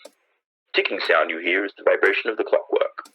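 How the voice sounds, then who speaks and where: A man's voice: low-pitched, moderate pace, pushed and bright, slightly rough, exaggerated voice. A man acting an earnest, courteous radio field commentator reporting formally in a vintage-style radio play. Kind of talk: scripted 1930s radio drama acting. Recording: dull lo-fi radio sound.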